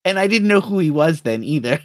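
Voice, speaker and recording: Guy podcast co-host chatting about movies, kinda high voice, dry close sound, repeats himself, acts out bits. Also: fairly quick, dry recording. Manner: animated retelling with acted-out quotes. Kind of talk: casual film discussion.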